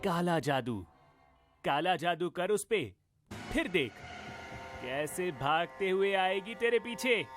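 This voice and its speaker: A man playing a cocky, gossipy young college friend in an animated story. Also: high-pitched, takes it slowly, pauses often, over music. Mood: teasing, persuasive.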